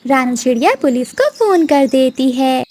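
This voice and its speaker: A woman's voice: sits high, lively intonation, a little slow, darkish tone. A woman narrating a scripted children's cartoon story as its storyteller.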